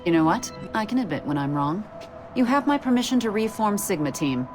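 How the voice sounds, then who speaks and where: An actress playing a cold, demanding corporate superior in a game audio log, speaking in a curt, authoritative way. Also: female voice, mid-range voice, big pitch swings, brisk, close to an everyday voice. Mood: curt, conceding. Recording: music under the voice.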